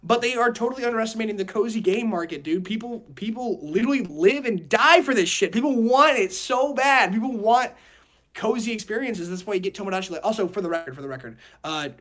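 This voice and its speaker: Young male, high register, opinionated podcast talker, rambling and emphatic. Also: lively intonation, fast, fairly bright tone. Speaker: podcast host. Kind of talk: casual opinionated podcast discussion.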